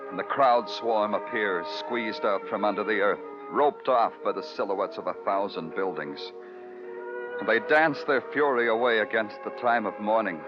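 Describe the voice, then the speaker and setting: An actor playing a terse, world-weary New York police detective in a 1940s radio noir. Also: male voice, on the low side, close to an everyday voice. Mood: brooding, poetic. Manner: terse, brooding, poetic narration. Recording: dull vintage radio recording.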